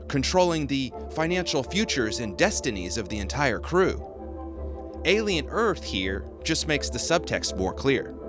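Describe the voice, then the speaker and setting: A man narrating a scripted video essay in an emphatic, grave, deliberate manner. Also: medium pitch. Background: music.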